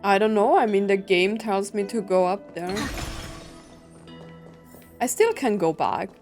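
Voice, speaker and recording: A woman's voice: medium pitch, animated, measured pace, darkish tone, faint music behind. A woman streamer giving casual playthrough commentary, reading game text aloud and musing in short reactions.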